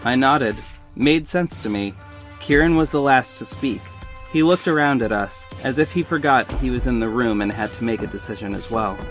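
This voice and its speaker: A man narrates a scripted audio drama in the first person. His voice is medium-pitched, and music plays clearly behind it. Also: normal speed, fairly bright tone. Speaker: narrator and lead actor.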